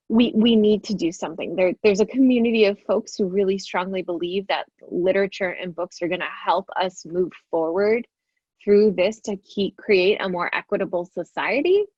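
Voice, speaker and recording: A woman's voice: mid-range voice, husky, dry recording. Woman, Telugu first language, panelist, frank, emphatic, dry, dull lo-fi call audio.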